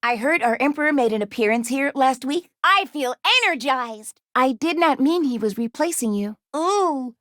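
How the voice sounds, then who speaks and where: A woman's voice: sits high, animated, moderate pace, few pauses, a bit bright, dry recording, studio quality. A woman voicing an earnest, anxious, polite young female robot companion in a video game, speaking precisely and formally without contractions. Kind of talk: video game character voice lines.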